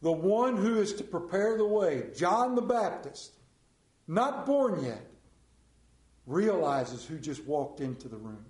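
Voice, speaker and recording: A man's voice: sits high, sing-song, slow pace, a little mellow. A middle-aged man with an Appalachian accent, a television pastor preaching a sermon in a folksy, storytelling way full of rhetorical questions. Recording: roomy echo.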